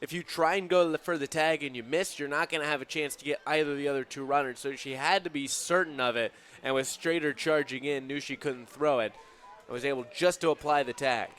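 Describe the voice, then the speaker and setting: A man working as color commentator on a live softball broadcast, explaining the plays in an analytical way. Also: fairly high pitch, steady tone, brisk.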